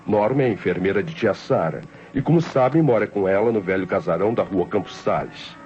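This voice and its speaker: An actor playing an idle, self-justifying nephew who narrates his confession formally and deliberately, with a Brazilian accent, in an old radio drama. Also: on the low side, animated, darkish tone, natural voice. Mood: cold, self-justifying. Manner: formal, deliberate, theatrical diction. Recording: dull old radio recording with music.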